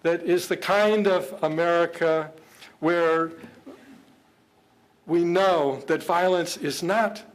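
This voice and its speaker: An older man giving a public speech to an interfaith gathering. He talks earnestly and haltingly, often repeating words as he searches for the next phrase. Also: a little high, low-quality recording. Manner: earnest, measured. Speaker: government official speaking at an interfaith event. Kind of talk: public speech with prepared remarks.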